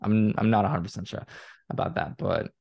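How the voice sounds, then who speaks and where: A man's voice: fairly low pitch, expressive, fairly quick, vocal fry, breathy edge, highs cut off. A young man from Croatia with a Croatian accent, chatting as an interview guest and rambling a little, with lots of fillers and restarts. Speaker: guest, content creator. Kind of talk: casual interview chat.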